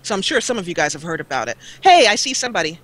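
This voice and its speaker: A woman's voice: lowish voice, very animated, very fast, fairly bright tone, low-quality recording. A woman chatting casually as a guest on a gaming live stream, rambling and playful, over a basic microphone. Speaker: guest, gaming roleplay video creator. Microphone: low-grade microphone on a live call.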